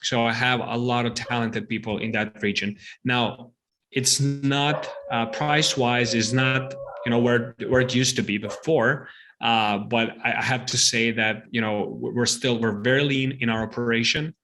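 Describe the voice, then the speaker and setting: A man's voice: mid-range voice, fairly even intonation, normal speed, pushed and bright, a little hiss or hum. A middle-aged man from the Serbia region, a startup CEO, talks casually in a podcast interview. He stammers through repeated words and many fillers. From Serbia, Balkans region.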